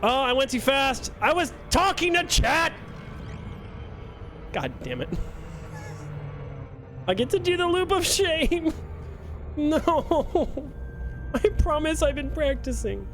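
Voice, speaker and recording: A man's voice: very high-pitched, very animated, slow, long pauses, a bit bright, soft background music. A young man streaming a game live, chatting with his viewers in a joking, self-mocking way. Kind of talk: casual live-stream chat while playing.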